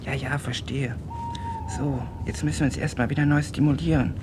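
A naive teenage boy from the provinces, played by a man, in a radio drama. He rambles earnestly, apologises a lot and chats on at a middling pitch. The recording has clear noise and rumble behind him. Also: steady tone, a little fast, close to an everyday voice. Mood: apologetic, flustered. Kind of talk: German radio play dialogue.

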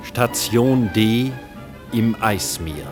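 A man giving a measured, formal radio play announcement, reading title and credits as an announcer. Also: lowish voice, expressive, music in the background.

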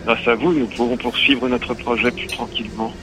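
An actor playing a mysterious, sinister phone caller in a French audio drama, polite, formal and measured. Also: male voice, medium pitch, steady tone, crowd noise, lightly acted, poor audio. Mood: coolly menacing. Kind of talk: French audio fiction acting.

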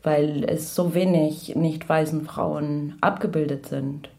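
A woman reflecting in a discussion, halting and thoughtful as she searches for words. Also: low voice, steady tone, vocal fry, warm tone. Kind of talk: reflective discussion about images. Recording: faint music behind the voice. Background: faint music.

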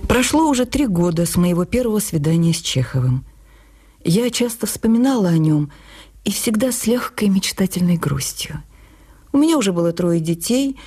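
Mellow, breathy woman narrating a memoir. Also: mid-range voice. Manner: intimate, wistful, expressive. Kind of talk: literary first-person narration for radio drama.

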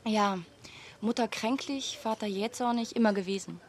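A woman's voice: a little high, moderate pace, lightly acted. An actress playing a young, grieving and tense woman in a naturalistic radio drama, talking informally in clipped phrases. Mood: informative, reflective. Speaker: actor in a radio play.

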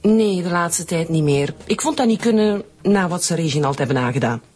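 Flustered defensive lady, lowish voice, hesitant, radio play, faint music. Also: lively intonation, a little fast, a little mellow, breathy edge. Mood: wistful, earnest. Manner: hesitant, pleading. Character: flustered, defensive, sentimental married woman under questioning. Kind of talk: radio drama acting.

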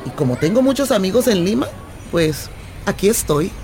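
An actress playing a restless, affectionate Colombian traveller in a radio drama, telling things in a playful, expressive, conversational way. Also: female voice, mid-range voice, moderate pace, crowd noise. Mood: excited, storytelling. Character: adventurous, restless, affectionate young Colombian woman stranded while travelling. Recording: remote recording with clear traffic noise.

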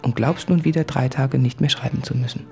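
An actor playing an anguished, self-doubting man who speaks in a brooding, deliberate, confessional way in a literary radio play. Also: mid-range voice, fairly even intonation, gravelly, mellow, close to an everyday voice. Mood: reproachful. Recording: music under the voice.

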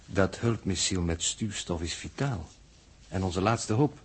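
An actor playing a coolly fatalistic, learned mathematician aboard a spaceship, lecturing in formal, pedantic phrases in a 1960s Dutch radio drama. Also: male voice, deep voice, lively intonation, a little slow, slight background noise. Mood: grave, resigned.

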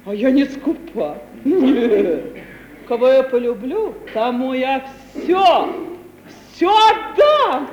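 A woman's voice: sits high, big pitch swings, takes it slowly, heavily acted. An actress playing a coquettish, miserly older wealthy aunt who gushes and repeats her phrases in a 1950s radio comedy. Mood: relieved, coquettish. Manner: gushing, repeats phrases theatrically. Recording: old, hissy, roomy radio play recording.

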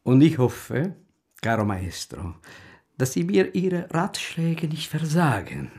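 An actor playing a suave, obliging and sly foreign straw man in a German radio drama, deliberate and flattering. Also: on the high side, full character voice.

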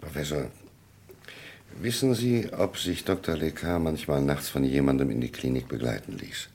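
An actor playing a probing middle-aged police commissioner in a vintage crime radio play, questioning in a deliberate, gruff manner. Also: male voice, extremely low pitch, a little mellow, slight background noise. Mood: musing, probing. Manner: deliberate, interrogating, hesitant fillers. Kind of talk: vintage German crime radio drama acting.

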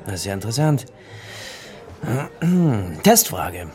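A man's voice: on the low side, sing-song, slow, a little mellow. An actor playing a teasing, enigmatic man with a playful, clipped manner in radio crime drama dialogue. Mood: teasing, cryptic. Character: teasing, enigmatic male archaeologist from Cologne.

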